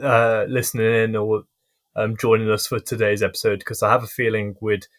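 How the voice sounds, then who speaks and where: A young man hosting a casual podcast interview, friendly and hesitant with frequent pauses and restarts. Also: on the low side, flat delivery. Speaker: podcast host.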